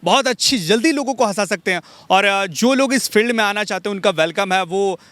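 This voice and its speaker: A young man, giving polite, measured interview answers as a mimicry artist. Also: high-pitched, fairly bright tone, no echo. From Jharkhand, India. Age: young adult.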